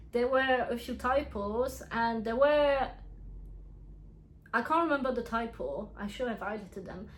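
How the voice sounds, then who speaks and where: Chatty young French-accented woman, fairly high. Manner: chatty, frank, laughs often, restarts sentences. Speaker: book reviewer. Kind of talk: casual book review vlog.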